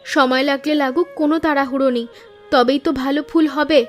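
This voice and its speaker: Cartoon big sister, female actor: high-pitched, quick delivery, caring, sensible, reassuring. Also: fairly even intonation, faint music behind, natural voice. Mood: practical, reassuring. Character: patient, caring elder sister, poor flower seller. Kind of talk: Bengali cartoon moral-story dialogue.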